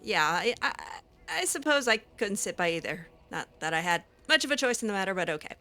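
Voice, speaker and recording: A woman's voice: mid-range voice, very animated, brisk, pushed and bright. A woman roleplaying her character in a video game, talking hesitantly with many fillers and a snarky edge. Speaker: gaming roleplay host.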